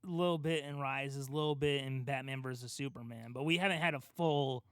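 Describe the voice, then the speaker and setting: A man's voice: medium pitch, fairly quick, a bit bright, smooth, no room sound, studio quality. A man co-hosting a casual podcast, talking in a rambling, enthusiastic fan's way. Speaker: podcast co-host. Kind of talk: casual podcast discussion of comic book films.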